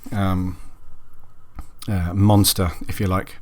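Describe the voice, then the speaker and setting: A man hosting a solo podcast who sounds raspy and husky from a cold. He chats casually and rambles, with many ums and restarts. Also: low voice, flat delivery, slow pace, darkish tone. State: hoarse from a cold. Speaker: podcast host.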